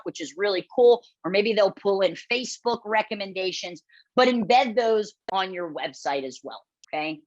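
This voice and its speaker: A woman with a loud voice presenting a webinar, blunt and direct, often checking in with the audience as she coaches. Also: medium pitch. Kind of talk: instructional webinar talk. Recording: dull lo-fi webinar audio.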